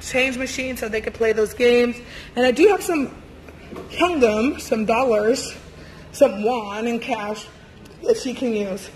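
Young woman vlogging w camera at arm's length, high voice, rambly and chatty, lots of restaurant noise behind. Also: measured pace. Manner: chatty, rambling, many fillers. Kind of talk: casual vlog walkthrough. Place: busy indoor buffet restaurant. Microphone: handheld camera at arm's length. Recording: noisy restaurant ambience.

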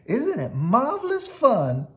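A narrator voicing a bold, excitable female city mouse from a storybook, bossy and shrieking. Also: medium pitch, slow, voice pushed up, exaggerated voice. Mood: excited.

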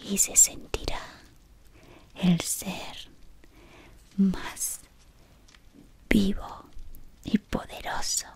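A woman whispering as a fawning dark-wizard servant. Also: medium pitch, little pitch movement, extremely slow, creaky, pauses often. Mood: devoted whisper. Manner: softly whispering close to the mic, deferential and fawning. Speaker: ASMR roleplay creator. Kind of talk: whispered ASMR roleplay. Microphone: close sensitive mic at face level.

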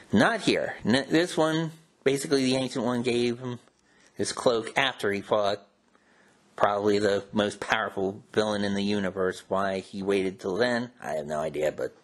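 A man hosting a show with informal, conversational and slightly wry commentary. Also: fairly low pitch. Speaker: host. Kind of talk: casual scripted-free commentary on comics and old radio.

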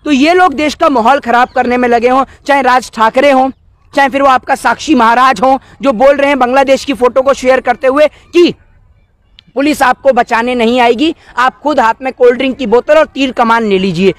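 Very high-pitched man, twenties, indignant political street interview, close dry handheld mics. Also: fairly quick, dry recording. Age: 20s. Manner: indignant, argumentative, rhetorical questions. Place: outdoors by a wall. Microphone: cluster of handheld news microphones held close.

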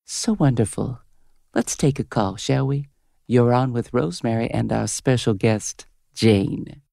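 A radio host in her fifties in a comedy audio drama. She is brash and cynical, with a gravelly, creaky smoker's voice recorded dry and close. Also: a bass voice, measured pace, dark tone, no echo, heavily acted. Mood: syrupy on-air. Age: 50s. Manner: brash, foul-mouthed, switching to syrupy on air. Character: crude, cynical, hard-bitten 57-year-old female radio host.

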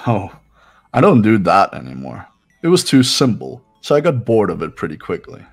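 Man voicing a low, pompous young rich heir. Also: wildly swooping pitch, creaky, soft background music, exaggerated voice. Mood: smug, bored. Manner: pompous, haughty, measured. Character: arrogant, condescending wealthy young male heir. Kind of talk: visual novel game voice-over.

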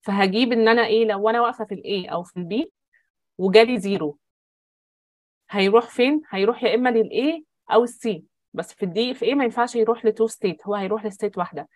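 A woman's voice: a little high, fast, dry recording. A woman with an Egyptian accent, explaining a compiler lesson step by step on a video call. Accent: Egyptian Arabic. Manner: explains step by step, thinking aloud. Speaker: teaching assistant. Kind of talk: online lecture.

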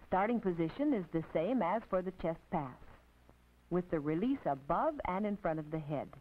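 An American woman narrating an instructional film as a teacher, in a precise, didactic, scripted manner with measured phrasing. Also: on the low side, lively intonation. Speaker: narrator and physical education instructor. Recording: tinny, band-limited 1950s film soundtrack.

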